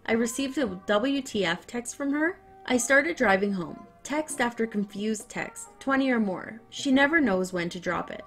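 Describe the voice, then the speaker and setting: Woman reading revenge stories aloud. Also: medium pitch. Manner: animated, swings from calm reading to loud excited outbursts. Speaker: story narrator.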